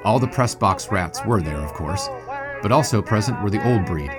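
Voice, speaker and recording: A man playing a dry-witted, younger 1920s sportswriter in an audio drama, measured and wry. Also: on the low side, expressive, music in the background, close to an everyday voice. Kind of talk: audio drama acting with first-person narration.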